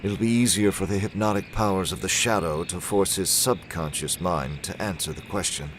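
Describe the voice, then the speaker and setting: Guy acting deep voiced old-time radio mystery hero, calm n commanding, hypnotist detective type, noisy background. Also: normal speed, lightly acted. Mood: determined, confiding. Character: calm, shrewd male crime-fighting detective with hypnotic powers. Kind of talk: 1940s-style radio drama acting.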